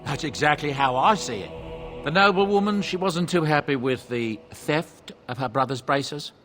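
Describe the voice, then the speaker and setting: Male actor as genial dwarven trader for a fantasy game, chatty and self-justifying, lively higher-pitched delivery. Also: normal speed, over music, natural voice. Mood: defensive. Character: folksy, genial dwarven merchant, protective adoptive father. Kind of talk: video game character dialogue, storytelling.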